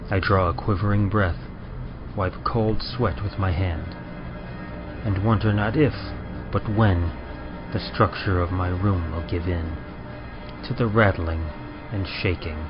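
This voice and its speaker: A man reading dramatic horror narration in an old-time radio style, grave and deliberate, in short measured phrases. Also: sits low, steady tone. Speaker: story and poem narrator. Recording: dull, band-limited sound with music behind.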